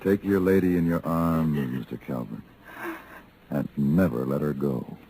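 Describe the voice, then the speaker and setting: An actor playing a suave, wry gentleman gunfighter, polished and dry, in a 1950s radio western. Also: extremely low pitch, fairly even intonation, a little slow, slight background noise. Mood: gently wry.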